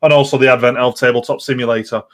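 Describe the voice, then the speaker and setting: A man speaks at a medium pitch as a podcast co-host. His delivery is casual, conversational chat about games. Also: fairly even intonation, rapid-fire.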